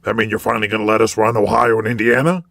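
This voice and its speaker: Man playing a gravelly, belligerent wrestling boss. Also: on the low side, level tone, racing, a bit bright, no room sound, clean studio sound. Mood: sarcastic, griping. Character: foul-mouthed, hot-headed, belligerent male wrestling company owner. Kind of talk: audio drama character voice.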